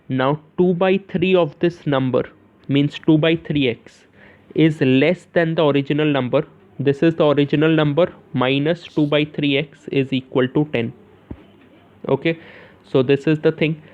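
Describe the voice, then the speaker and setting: A man's voice: a little high, steady tone, a little slow. A young man with an Indian accent gives an informal step-by-step maths lesson, asking questions and answering them himself, often repeating phrases and checking in with short confirmations. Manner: repeats phrases, punctuates with "yes" and "okay". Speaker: maths tutor. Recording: narrow, tinny phone-like sound.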